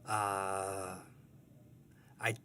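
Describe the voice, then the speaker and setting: A man's voice: deep voice, level tone, extremely slow, pushed and bright, clean tone. A man chatting casually as an interview guest, thoughtful and hesitant as he answers. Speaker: comic strip artist, interview guest.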